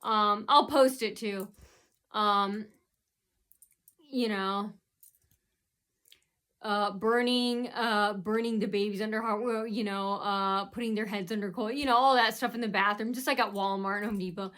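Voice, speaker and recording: A woman's voice: medium pitch, steady tone, measured pace, pauses often, fairly bright tone. A woman from the United States talking in a rambling casual monologue, full of ums and uhs and constantly circling back with "so anyway.". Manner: meandering, keeps restarting with "so anyway"; heavy fillers, um and uh. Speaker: vlogger.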